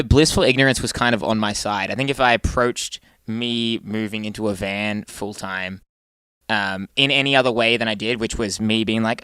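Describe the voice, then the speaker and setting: Smooth-voiced man chatting casually. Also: on the low side, sharp tone, dry recording. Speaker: podcast guest. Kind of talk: casual chat.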